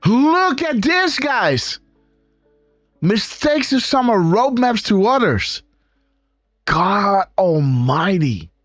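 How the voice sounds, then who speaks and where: Excitable Dutch-accented man hosting a stream. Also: sits high, drawn-out words, dry recording, clean studio sound, faint music behind. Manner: excitable, exclaiming, talks to viewers as guys. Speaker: live show host. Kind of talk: casual live-stream commentary on images.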